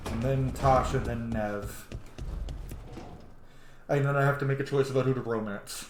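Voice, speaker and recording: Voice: mid-range voice, steady tone, moderate pace, slight background noise. A streamer giving casual gameplay commentary, with gushing, sweary asides to the game. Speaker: streamer playing a roleplay game. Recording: roomy echo, game music behind. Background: video game music.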